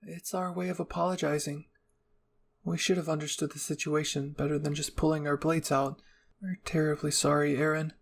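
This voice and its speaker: A man's voice: a little high, level tone, moderate pace, vocal fry, slight background noise. A man voicing a protective, remorseful young woman soldier in an anime fan story, firm and pleading. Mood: apologetic.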